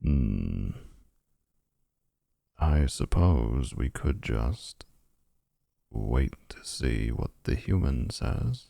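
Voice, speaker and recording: A man playing a gravelly, smug vampire flirt. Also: extremely low pitch, big pitch swings, extremely slow, long pauses, mellow, no echo, clean studio sound, exaggerated voice.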